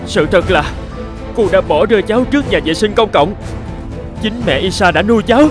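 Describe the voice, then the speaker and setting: A man voicing an emotional, wounded teenager in a cartoon melodrama, earnest and halting. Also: sits high, animated, music in the background. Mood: shocked.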